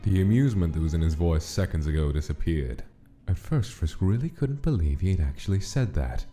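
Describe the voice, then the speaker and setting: Male narrator for a dramatic comic dub, very low and mellow, faint music under the read. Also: animated, fairly quick. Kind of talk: dramatic scripted story narration.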